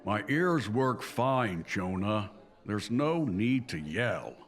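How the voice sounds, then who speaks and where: An actor playing a stern older uncle and newspaperman with a deadpan, deliberate delivery in a comic dub. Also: on the low side. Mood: dry, testy. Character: stern, dry-witted older uncle, plain-spoken newspaperman. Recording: music behind the voice.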